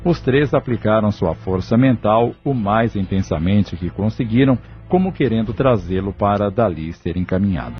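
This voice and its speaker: A man narrating a scripted audio novel as its storyteller. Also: lowish voice. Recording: muffled lo-fi audio with music.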